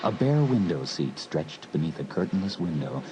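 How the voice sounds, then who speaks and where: A man narrating a horror audiobook in a measured, brooding storyteller's manner. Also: rumbling low, sing-song, highs cut off. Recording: hissy old cassette recording.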